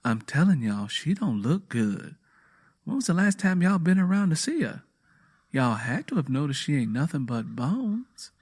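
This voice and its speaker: A man voicing an earnest, compassionate Southern woman in an audio drama, speaking softly and persistently. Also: on the high side, very animated, moderate pace, breathy edge, heavily acted. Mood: insistent. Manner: soft, pleading, sweet.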